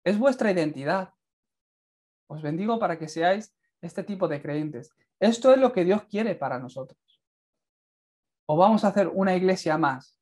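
A man's voice: high-pitched, animated, normal speed, a little mellow. Young Spanish man preaching fervently. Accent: Castilian Spanish. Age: young adult. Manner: earnest, fervent, builds to emphatic peaks. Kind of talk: sermon and closing prayer. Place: indoors, in front of a plain light wall.

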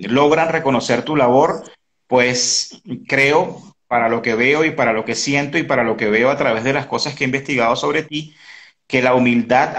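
Man, fifties, mid-pitched, interview host, halting, casual, video call. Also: a bit bright. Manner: halting, repeats words. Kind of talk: casual conversational online interview. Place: indoor room at home. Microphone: video call, device close in front.

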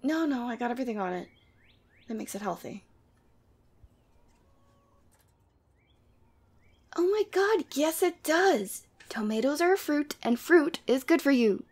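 A woman's voice: sits high, big pitch swings, moderate pace. A woman playing a sweet, teasing, clingy demon girlfriend in a casual ASMR roleplay. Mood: exasperated, bickering. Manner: casual, teasing, playfully exasperated. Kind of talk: ASMR roleplay audio drama.

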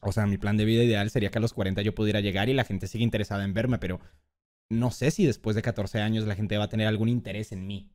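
A man's voice: lowish voice, quick pace. A man in his twenties from Colombia, chatting casually and jokingly on a livestream, with slang and swearing. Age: 20s. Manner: joking, expressive. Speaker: streamer reacting to a video about himself. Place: indoor streaming room.

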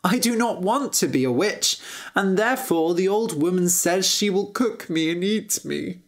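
A woman's voice: on the low side, lively intonation, moderate pace. A woman voicing a timid, sensitive young prince in a storybook tale, with a hesitant and emotional manner. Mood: sad, fearful.

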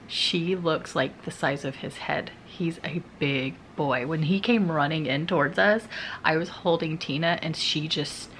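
A woman's voice: sits low, pushed and bright, slight background noise. Chatty giggly woman vlogging to camera. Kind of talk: casual vlog chat to camera. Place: bedroom in a house. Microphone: handheld camera at arm's length.

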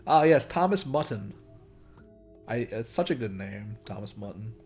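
A man's voice: fairly low pitch, lively intonation, moderate pace, a little mellow. A man giving casual, joking, sweary commentary as he plays a video game. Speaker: let's player. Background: faint game music.

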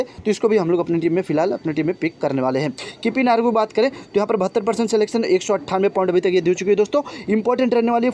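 A man's voice: sits high, darkish tone, slight background noise. A young man giving an informal fantasy cricket team preview, chatting to viewers like friends and brothers. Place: voice-over on a phone screen recording.

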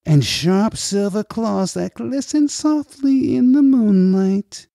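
Guy doing a smug leering agency director for an audiobook, pitched way up, sarcastic drawl. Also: expressive, slow, vocal fry, mellow, no room sound, clean studio sound, heavily acted. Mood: mocking scepticism. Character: leering, mocking, sceptical male agency director. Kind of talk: audiobook character voice.

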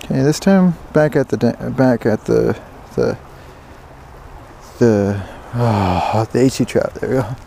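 A man giving excited, casual fishing commentary, repeating words in bursts, speaking outdoors into a body-worn action camera. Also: mid-range voice, slow pace, vocal fry. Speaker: fishing vlogger. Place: outdoors beside a stream. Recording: outdoor water noise behind voice.

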